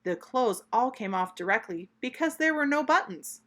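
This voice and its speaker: A woman reading a children's story aloud, expressive, deliberate and emphatic. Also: on the high side.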